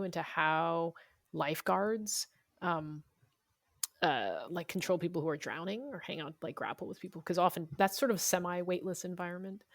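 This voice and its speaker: A woman speaks as an interview guest in a fairly low voice. She explains things informally and thoughtfully, as an author talking about work. The sound is dry, with little room in it. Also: no echo. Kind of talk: conversational interview.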